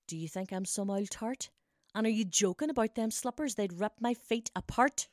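A woman's voice: medium pitch, moderate pace, no room sound, lightly acted. Woman doing a stroppy sarcastic young heroine, blunt, dry sound. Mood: defiant.

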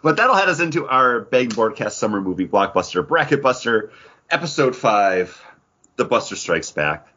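A man hosting a casual podcast, chatting in an animated, joking way with quick asides. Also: medium pitch, slightly rough, lo-fi sound. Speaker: podcast host.

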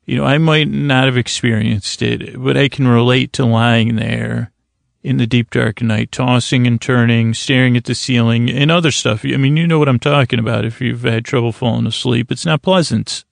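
A man with a creaky voice hosting a bedtime podcast in a rambling, halting, stuttering, self-deprecating monologue. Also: medium pitch, steady tone, dry recording, clean studio sound. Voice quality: creaky, gravelly. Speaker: podcast host.

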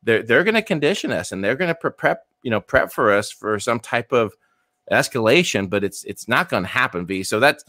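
A man's voice: medium pitch, lively intonation, brisk, pushed and bright, dry recording, clean studio sound. A man in his fifties, co-hosting a casual political podcast chat, often repeating words as he argues his point. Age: 50s. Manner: repeats words, speculative and emphatic. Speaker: podcast co-host.

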